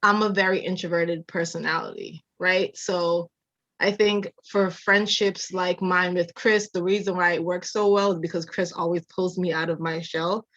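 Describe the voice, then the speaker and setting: A woman in her thirties, from Jamaica by way of Massachusetts, talks through her life in an unhurried, reflective way and laughs often. Her voice sits a little low and comes across dry and slightly muffled, as on a lo-fi recording. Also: flat delivery, a bit bright, dry recording. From Jamaica, raised in Massachusetts. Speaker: architecture designer, guest. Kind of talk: casual interview storytelling.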